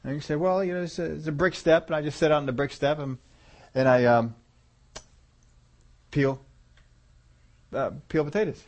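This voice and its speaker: A man preaching through a long story in a relaxed, folksy way. He chuckles often, and his voice sinks low and soft to land the key lines. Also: normal speed, pauses often. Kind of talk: storytelling sermon.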